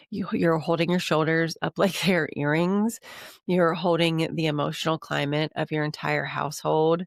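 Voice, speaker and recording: A woman's voice: sits low, gravelly, no echo, clean studio sound. A woman hosting a coaching podcast, talking earnestly through a cold, with a congested voice. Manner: earnest, encouraging, repeats lists for emphasis. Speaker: podcast host. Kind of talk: coaching monologue.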